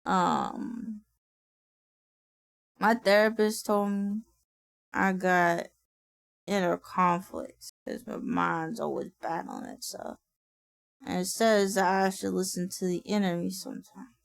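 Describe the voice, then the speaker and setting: Tired, slow-talking woman with a gravelly voice. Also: fairly low pitch, no room sound. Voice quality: raspy when sleepy. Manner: chatty, swears and laughs often. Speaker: streamer. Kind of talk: casual livestream chat.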